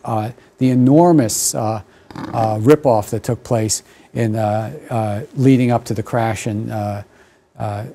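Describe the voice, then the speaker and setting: A man in his sixties whose first language was German, talking in a studio interview, halting and thoughtful with many fillers and restarts, on a lapel mic. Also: fairly low pitch, fairly even intonation, warm tone, slight background noise. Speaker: author and guest. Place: dark television studio.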